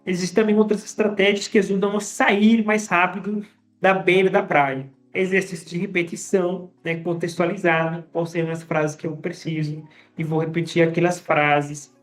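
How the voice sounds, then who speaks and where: A language teacher talking casually to viewers, often tagging sentences with a quick question and restarting phrases. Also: mid-range voice, little pitch movement, faint music behind. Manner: conversational, frequent tag questions and restarts. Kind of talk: casual teaching monologue to camera.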